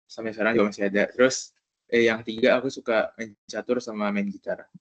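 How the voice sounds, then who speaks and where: A man's voice: fairly low pitch, level tone, quick pace, dry recording. A young man from Jakarta, a student, introducing himself casually and a little hesitantly on an online call. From Jakarta, Indonesia. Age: young adult. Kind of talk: informal self-introduction on a group call.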